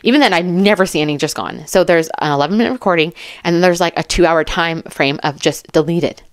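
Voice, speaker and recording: A woman's voice: lowish voice, fast talker, no room sound, studio quality. A woman giving casual true crime commentary, chatty and rambling, with an emphatic, informal way of talking.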